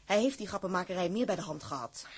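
An actress playing a bold, loyal captain's wife in a Dutch period drama, blunt and defiant in manner. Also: female voice, on the low side, lively intonation, fast talker, husky. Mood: defiant, determined.

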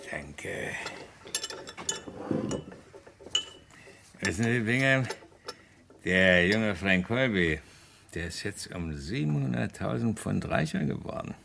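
A courteous police inspector from a radio crime play. His voice is on the low side with a marked creak, and he questions politely and precisely, with a dry wit. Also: animated, unhurried, a bit bright, slight background noise, exaggerated voice. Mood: courteous, wry. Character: courteous, dryly witty male London police inspector.

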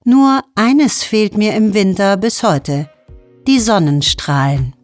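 A woman's voice: medium pitch, takes it slowly, soft background music. A woman from Mexico, a native Spanish speaker, reading a scripted first-person column in German as narration. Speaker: magazine author reading her column.

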